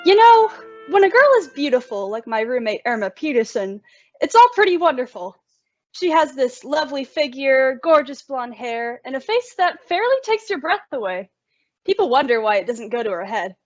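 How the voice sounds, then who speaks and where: Woman acting a wry young working woman, exasperated patience, radio sitcom, high pitch, dry lo-fi recording. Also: big pitch swings, normal speed, dry recording. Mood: wry, confiding. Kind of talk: old-time radio sitcom acting, narrating to listeners.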